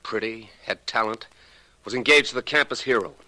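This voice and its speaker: An actor playing a slangy, embittered Hollywood screenwriter with a wry manner in 1940s radio drama style. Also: male voice, mid-range voice, fairly quick, pushed and bright, dry recording, poor audio. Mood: bitter, recounting.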